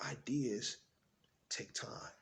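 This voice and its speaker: A man performing a theatrical monologue as a tender, playful, earnest father-to-be speaking intimately and conversationally to his unborn child, moving between hushed and exuberant delivery. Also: fairly high pitch, sing-song, normal speed.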